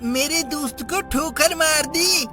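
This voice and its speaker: Woman voicing an earnest, high-pitched little schoolboy. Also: animated, slow, over music. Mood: indignant. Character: innocent, sweet-craving young schoolboys. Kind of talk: children's cartoon story acting.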